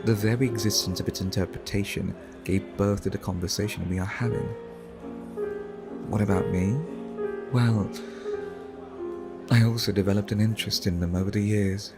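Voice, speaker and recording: A man playing a suave, refined vampire art collector in an ASMR roleplay, speaking in a formal, courteous, flirtatious and measured way. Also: fairly low pitch, fairly even intonation, loud music behind the voice, lightly acted. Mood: courtly, musing.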